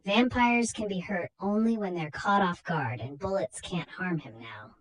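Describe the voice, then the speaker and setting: Woman as a husky, commanding vampire-hunting doctor. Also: fairly low pitch, unhurried, poor audio. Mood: knowing resolve. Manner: emphatic, declamatory. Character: commanding male doctor, vampire hunter, urgent and knowing.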